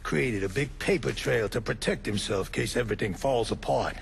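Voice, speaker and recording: An actor playing a world-weary mobster in a video game, blunt, grumbling and profane. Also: male voice, medium pitch, moderate pace, creaky, breathy edge. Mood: matter-of-fact. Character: world-weary male mobster turning on his boss. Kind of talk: dramatic video game dialogue.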